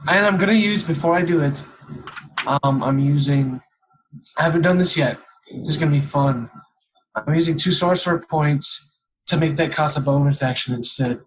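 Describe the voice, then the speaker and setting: A man's voice: on the high side, moderate pace, low-quality recording. A man chatting casually as a player in a tabletop game, blunt and slangy, with some swearing.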